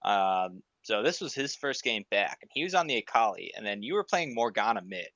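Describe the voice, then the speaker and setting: Male co-caster, bright but lo-fi, dry sound, chatty. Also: on the low side, big pitch swings, fairly quick, no room sound. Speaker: esports co-caster. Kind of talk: livestream desk interview. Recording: dull lo-fi mic.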